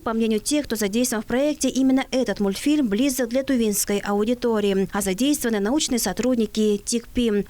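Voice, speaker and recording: A woman's voice: a little high, a little mellow. A woman reporter reading a scripted news narration as a voice-over.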